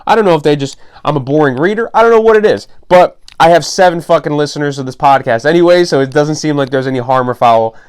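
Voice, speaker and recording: A young adult man hosting his own fitness podcast, reading an article aloud with dry, sarcastic asides, in what he calls a monotone voice. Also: on the high side, fast, few pauses, clear, resonant tone. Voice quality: self-described monotone voice. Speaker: podcast host.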